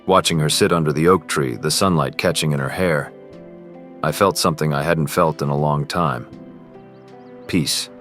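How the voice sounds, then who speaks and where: A man's voice: very deep voice, steady tone, moderate pace, fairly bright tone. A man playing an earnest, privileged teenage boy who confides and narrates in an amateur romance drama. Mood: reflective. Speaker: character and first-person narrator. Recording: music behind the voice.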